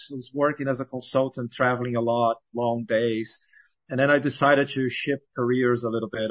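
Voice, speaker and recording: A man's voice: medium pitch, no room sound, muffled recording. A middle-aged man from Brazil answering interview questions in a conversational way, informally thinking aloud as he goes. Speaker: podcast guest, climate scientist and founder.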